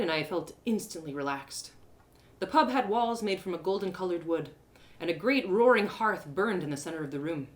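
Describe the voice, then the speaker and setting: A woman reading a first-person fantasy story as an expressive, descriptive storyteller. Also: lowish voice.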